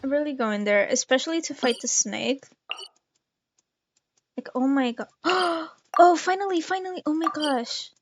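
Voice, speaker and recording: A woman's voice: sits high, measured pace, slight background noise. A young adult woman chatting casually as she plays on a gaming livestream. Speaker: game streamer. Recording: loud game music behind voice. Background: loud video game music.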